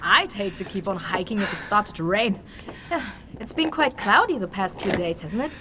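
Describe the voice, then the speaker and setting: A woman playing a lazy, complaining but playful young traveler in a fantasy audio drama, with a whiny, informal, expressive delivery. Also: mid-range voice, moderate pace, background noise, natural voice. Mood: tired, relieved.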